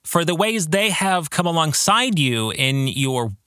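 A man's voice: on the high side, very animated, a little slow, sharp tone, no echo, clean studio sound. A man hosting a reflective faith book discussion, earnest, putting questions to the listener. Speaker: podcast host and book author leading a study.

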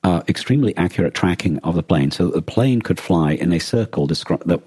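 A low-voiced man co-hosts a casual podcast discussion. His tone is dark and rough-edged rather than crisp. He explains things thoughtfully and a little hesitantly, in a very dry, close recording. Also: expressive, fairly quick, dry recording. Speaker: podcast co-host.

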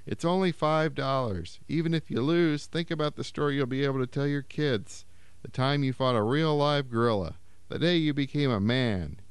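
A brash, sly carnival barker pitches his cage fight in a raised, carrying voice, as a man puts him on. The tone is smooth and clean, recorded close and dry. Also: moderate pace, no echo, natural voice. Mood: coaxing. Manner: loud coaxing barker pitch.

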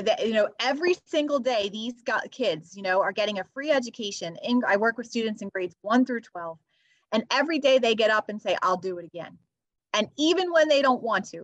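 A woman's voice: mid-range voice, a bit bright, dry recording, highs cut off. A woman teacher talking in an earnest, upbeat way as a podcast guest, with many "you know" fillers. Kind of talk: podcast interview. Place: near Philadelphia.

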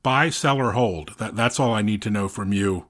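A man's voice: on the low side, moderate pace, fairly bright tone. A man co-hosting a podcast in casual banter, with a dry, joking manner. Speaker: co-host of an industry news podcast.

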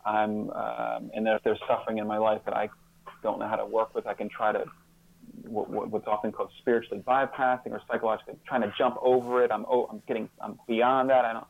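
A man being interviewed as a guest, speaking reflectively and haltingly, often repeating words and restarting his sentences. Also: lowish voice, level tone, creaky, phone-quality sound, slight background noise.

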